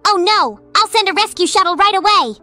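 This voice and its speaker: A woman plays an earnest, harried young female hedgehog in a comic dub. Her voice is high and very bright, with expressive swings. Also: a little fast, faint music behind, heavily acted. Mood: alarmed, earnest.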